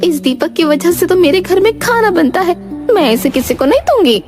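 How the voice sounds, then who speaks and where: High-pitched nagging village wife played by a woman. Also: lively intonation, normal speed, over music. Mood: pleased, stubborn. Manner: exclaiming, scolding, repeats a pious catchphrase. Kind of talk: animated folk tale voice acting.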